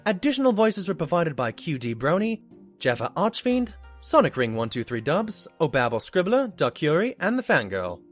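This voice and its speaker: A man's voice: on the high side, very animated, moderate pace, faint music behind. A man reading scripted closing credits as an announcer for an audio drama.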